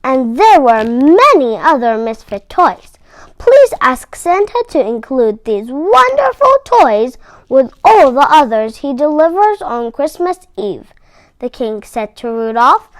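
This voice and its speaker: A woman storyteller reading a children's storybook aloud with expressive, emphatic phrasing. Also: high voice, slow, dry recording, rough recording.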